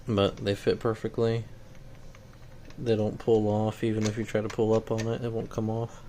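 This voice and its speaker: A man's voice: lowish voice, monotone, normal speed, dark tone. A man giving a casual, matter-of-fact walkthrough of equipment he is selling, plain-spoken, in a noisy home-video recording. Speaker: seller demonstrating equipment.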